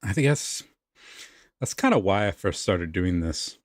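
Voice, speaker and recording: Guy chatting off script at the mic, swears a bit, husky lowish voice, very dry sound. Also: very animated, unhurried, no room sound. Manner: profane, self-amused. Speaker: amateur voice actor recording audio roleplay. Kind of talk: casual off-script chat between takes.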